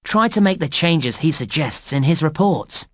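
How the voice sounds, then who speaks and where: An actor playing a cheerful male park announcer, giving scripted narration and clear instructions to young players in a children's game. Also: a little high, animated, moderate pace, no room sound, studio quality. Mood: encouraging, helpful. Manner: upbeat presenter delivery, clear instructions.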